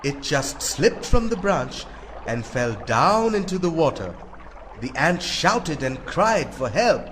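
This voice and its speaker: A man tells a children's fable in a high voice. His reading is slow and very varied in pitch, set over music. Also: sing-song, noisy background. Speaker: narrator. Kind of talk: scripted children's story narration.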